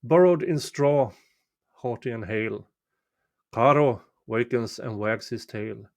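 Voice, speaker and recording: A man recites a folk poem in a storytelling style. His voice sits at an average pitch. He takes his time, placing each short phrase deliberately with measured pauses. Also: darkish tone. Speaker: amateur reader of a poem.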